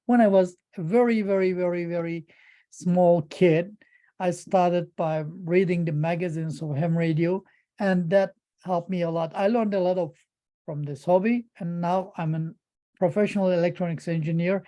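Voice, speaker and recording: A middle-aged man with a Turkish accent gives an informal presentation in halting English, often repeating words as he searches for phrasing. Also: sits high, fairly even intonation, mellow. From Turkey.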